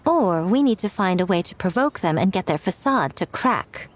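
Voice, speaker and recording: A woman playing a sly, poised shrine priestess in an anime game, speaking in a smooth, deliberate, theatrical way. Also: medium pitch, lively intonation, close to an everyday voice. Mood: sly, calculating.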